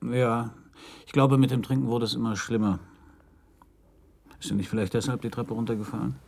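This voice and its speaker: An actor playing an uneasy, confiding man under police questioning in a German radio crime drama, husky and hesitant. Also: mid-range voice, little pitch movement, a little fast, a little mellow. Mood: brooding, confessional.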